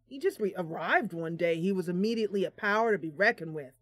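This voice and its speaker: A woman voicing a plain-spoken male trading post keeper from a fantasy game, talking in a reluctant, musing, halting way. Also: fairly low pitch, lively intonation, no echo. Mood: uneasy, musing.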